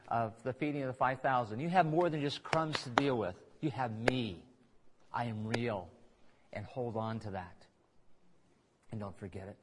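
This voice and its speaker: Male preacher giving a sermon. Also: mid-range voice. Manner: conversational, with frequent restarts and asides. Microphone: recorded on tape in a church.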